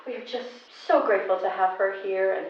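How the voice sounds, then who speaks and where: A woman's voice: medium pitch, a little fast, natural voice. A woman playing an effusive, earnest town councillor giving repetitive formal thanks as a caller in a comic radio drama. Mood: grateful, gushing. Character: gushing, earnest female town councillor calling in. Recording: very echoey, muffled, slightly noisy call.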